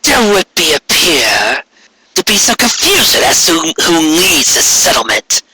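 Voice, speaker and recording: A man's voice: a little high, sing-song, measured pace, pushed and bright, husky, pitched above the performer's own voice, full character voice. A man voicing a menacing, ancient female alicorn queen who speaks in archaic, formal commands in a fan fiction audiobook. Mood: cold, demanding.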